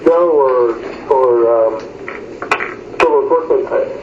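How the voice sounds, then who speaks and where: A man chairs a meeting over a tinny, phone-like connection with a clear echo. His manner is informal, guiding the discussion along. A faint hiss sits under the voice, which is medium in pitch. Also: takes it slowly. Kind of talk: work meeting discussion on a conference call. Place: telephone conference call.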